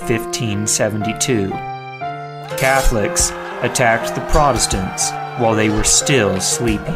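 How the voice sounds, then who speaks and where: A man narrating a scripted historical drama in a deliberate, dramatic storytelling style. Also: medium pitch. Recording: guitar music under the voice. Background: guitar music.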